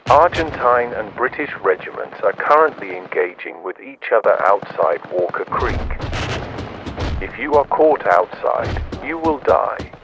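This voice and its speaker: Man acting as grave emergency broadcast announcer over loud noise. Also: mid-range voice, animated, measured pace, muffled recording. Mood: stern, urgent. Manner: formal, commanding delivery. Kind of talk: scripted emergency alert announcement.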